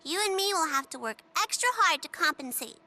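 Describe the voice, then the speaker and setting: Squeaky, bossy little girl. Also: animated, fairly quick, sharp tone, exaggerated voice. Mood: pert, curious. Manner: blunt, childlike, demanding. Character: blunt, bossy little girl, precocious and nosy. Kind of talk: video game voice acting.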